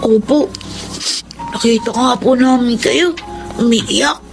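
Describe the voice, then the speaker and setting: A woman's voice: a little high, takes it slowly, vocal fry, music in the background. A woman voicing a wistful, caring runaway street child in a Tagalog radio drama. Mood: caring, polite.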